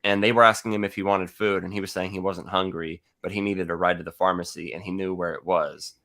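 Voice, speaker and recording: A man's voice: sits low, fairly even intonation, fast, bright, forceful tone. An American man from California chatting casually as an online host, rambling and jokey, with profanity. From California, United States. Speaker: livestream host.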